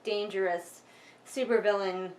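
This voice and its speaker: A woman's voice: mid-range voice, steady tone, a little slow, darkish tone, poor audio. A woman giving casual, sarcastic book commentary in an emphatic, exasperated manner, sometimes reading passages aloud. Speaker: vlogger doing a critical book read-along.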